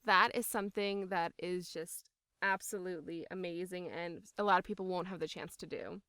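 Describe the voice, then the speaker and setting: A young woman with a pitch a little on the low side answers interview questions in a casual, chatty style. She is enthusiastic and leans hard on her points. The sound is dry and free of echo. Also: moderate pace, bright, forceful tone, clear, resonant tone. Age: young adult. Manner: enthusiastic, emphatic, laughing as she talks. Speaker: voice actor interviewee.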